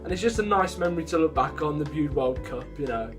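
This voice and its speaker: A young man with a slightly high voice chats casually over clear background music. He rambles and breaks off his own sentences as he vlogs to his viewers. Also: lively intonation, fast talker. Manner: rambling, self-interrupting. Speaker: vlogger. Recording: music behind the voice.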